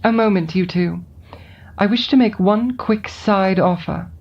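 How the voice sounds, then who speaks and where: Slow, measured scheming woman, voiced by an actress. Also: medium pitch, husky. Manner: calm, measured and coolly persuasive. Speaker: voice actor. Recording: dull lo-fi recording with faint music behind.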